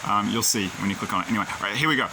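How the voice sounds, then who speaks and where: Low-voiced New man rambling. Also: very fast, noisy background. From New Zealand. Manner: rambling, digressive, opinionated. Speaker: video creator. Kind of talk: casual talk to camera. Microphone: camera he films himself with.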